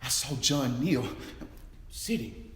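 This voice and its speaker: Man playing plainspoken father giving testimony, halting, lively swings in pitch, echoey hall. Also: a little hiss or hum. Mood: earnest, halting. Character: plainspoken father recalling a violent riot he witnessed. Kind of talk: dramatic reading of historical testimony.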